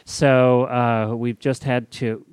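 A man's voice: mid-range voice, level tone, slow, a little mellow, smooth, no echo, studio quality. A man hosting a podcast, chatting informally and reading out show announcements in a conversational way. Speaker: podcast host. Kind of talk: casual chat and show announcements.

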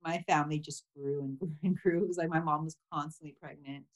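A woman with a notably low voice talks through her past as a podcast guest. She grew up in Thailand, and her speech is reflective and soft, full of "you know" and small laughs. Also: fairly even intonation, fast talker. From grew up mostly in Thailand. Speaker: memoir author, podcast guest. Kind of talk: casual interview chat.

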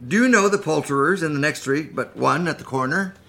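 A man's voice: on the high side, brisk, creaky, sharp tone. A man playing a repentant old miser in a live radio play of a Victorian story, earnest, emphatic and theatrical. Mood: giddy delight. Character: repentant old miser, pleading, then giddily joyful.

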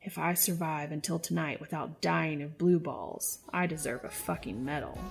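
A woman's voice: low voice, normal speed, gravelly, music in the background. A woman voicing a brash, flustered young man in an audiobook, blurting and teasing with sarcasm. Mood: frustrated. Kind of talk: audiobook character voice.

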